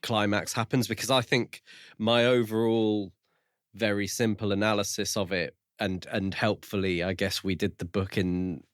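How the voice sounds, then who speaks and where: A man co-hosting a podcast, thinking aloud in a measured, tentative way during a casual book discussion. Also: lowish voice, little pitch movement, no echo, clean studio sound. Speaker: podcast co-host reviewing books.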